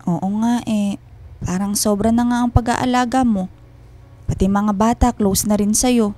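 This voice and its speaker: A woman's voice: mid-range voice, fairly even intonation, measured pace, dark tone, lightly acted. An actress playing a lonely, guarded wife and mother in a radio drama, restrained and hesitant, politely hiding hurt.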